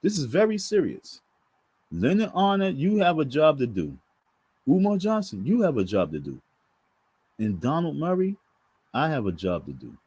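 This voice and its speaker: A man's voice: fairly high pitch, huge pitch swings, moderate pace, pauses often, a little mellow, husky, dry recording. A man giving preachy casual commentary to his viewers, emphatic and repetitive in a sermon-like way. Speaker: online commentator.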